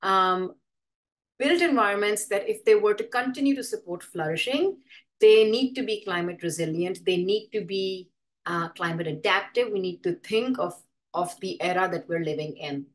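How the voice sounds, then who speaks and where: Thoughtful woman presenter. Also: mid-range voice. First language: Hindi. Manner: thoughtful, explanatory, often pausing to rephrase. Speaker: presenter with a background in urban design. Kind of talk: conversational presentation to an online or live audience.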